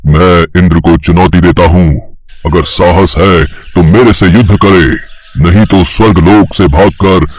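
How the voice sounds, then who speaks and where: A man's voice: sits low, fairly even intonation, measured pace. A man voicing an arrogant, bloodthirsty demon king in a mythological story, boastful and taunting. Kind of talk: Hindi mythological audio story acting.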